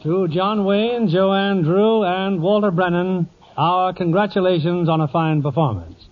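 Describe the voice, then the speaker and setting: Higher-voiced man hosting old-time radio. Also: measured pace. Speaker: radio show host. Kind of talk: 1940s radio hosting, formal and polished. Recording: muffled 1940s radio broadcast.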